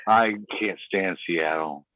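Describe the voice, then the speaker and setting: A man's voice: deep voice, slow pace, no room sound. A man from the United States chatting casually on a live stream, blunt, teasing and grumbling. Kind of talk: casual chat. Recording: dry tinny phone-like line.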